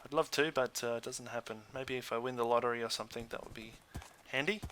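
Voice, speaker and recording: A man's voice: medium pitch, steady tone, fast, fairly bright tone, slight background noise. A man hosting a gaming video with casual commentary, hesitant and thinking aloud as he goes.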